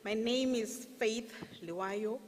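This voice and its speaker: A woman from Zimbabwe with a Zimbabwean accent, giving a deliberate, formal testimonial speech. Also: on the high side, a little hiss or hum. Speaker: training graduate giving a testimonial. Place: large indoor hall, public event. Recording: echoey hall with long reverb.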